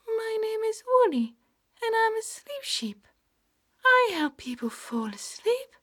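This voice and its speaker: A woman voicing a young, lonely female sheep from a bedtime story, in a high sweet sing-song voice. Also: full character voice. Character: young weepy lost female sleep sheep.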